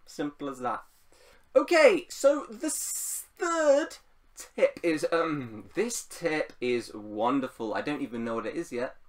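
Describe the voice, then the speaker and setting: A man's voice: fairly high pitch, far from the mic. A man giving casual, unscripted writing advice as a video host, rambling playfully and repeating phrases for emphasis. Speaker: YouTube writing coach and host.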